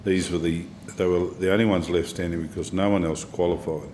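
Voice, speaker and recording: A man's voice: deep voice, steady tone, a little fast, warm tone, a little hiss or hum. A man making remarks as a committee member, plain-spoken and a little weary. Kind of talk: parliamentary remarks. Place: committee hearing room.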